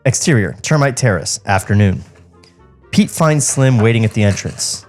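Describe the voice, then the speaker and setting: Mid-pitched man reading script directions. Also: animated, normal speed, darkish tone, soft background music. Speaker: podcast co-host reading stage directions. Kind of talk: scripted narration, table read. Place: podcast studio.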